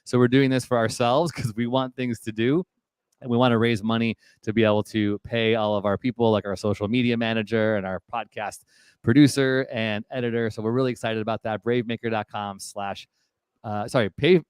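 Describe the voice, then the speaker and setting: A man's voice: on the low side, fairly even intonation, a little fast, smooth, no echo, clean studio sound. A man hosting a live web show from his office headquarters, upbeat and encouraging. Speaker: host.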